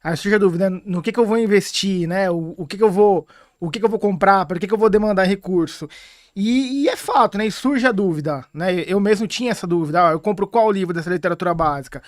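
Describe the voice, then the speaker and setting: Male guest, high voice, thoughtful, halting restarts, dry close sound. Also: dry recording. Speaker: podcast guest, acupuncturist. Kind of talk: casual podcast chat.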